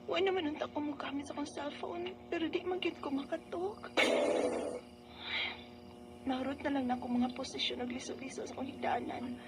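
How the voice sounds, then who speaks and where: High-pitched anxious Bisaya younger sister. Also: female voice, background noise. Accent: Filipino, Bisaya. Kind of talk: Filipino radio drama acting with first-person narration.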